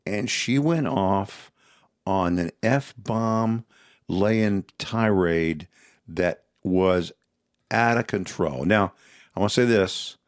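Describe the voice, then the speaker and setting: Male co-host, very low voice, folksy storyteller, dry close mic. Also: drawn-out words, clean tone, no room sound. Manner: folksy storyteller, frequent murmured agreement. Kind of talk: casual podcast chat.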